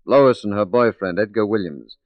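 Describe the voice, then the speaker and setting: Low-voiced resolute 1940s radio detective played by an actor. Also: male voice, moderate pace, dry recording, lightly acted. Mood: resolute, grave. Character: resolute, righteous male detective working undercover as a secretary. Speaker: detective lead in a radio drama. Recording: muffled 1940s radio recording.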